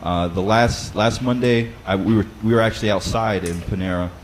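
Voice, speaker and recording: A man with a fairly low, loud voice talks through practical advice like a teacher walking a class through it. He speaks conversationally, often pausing on fillers and restarting phrases. A faint hum of background noise sits under the recording. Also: steady tone. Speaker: Bible study teacher. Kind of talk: instructional talk.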